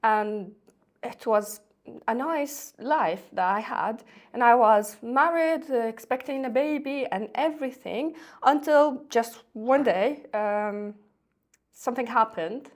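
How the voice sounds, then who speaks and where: A woman in her thirties, from Syria, talking as a podcast guest in a hesitant way with many pauses and restarts. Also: a little high. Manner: soft-spoken, hesitant, with many ums and restarts. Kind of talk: casual interview talk.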